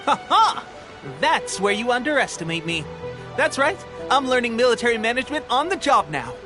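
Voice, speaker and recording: An eager young soldier from a video game, boastful and exuberant. His voice is high and very animated, with music clearly behind it. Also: normal speed. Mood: boastful, excited. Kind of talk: video game character acting.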